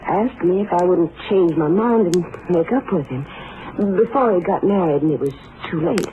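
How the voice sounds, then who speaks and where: An actress playing a shaken, hesitant young rancher's daughter in a 1950s radio crime drama, giving her account in halting, earnest phrases. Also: on the low side, animated, close to an everyday voice. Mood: hesitant, subdued. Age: young adult. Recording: hissy, muffled 1950 radio recording.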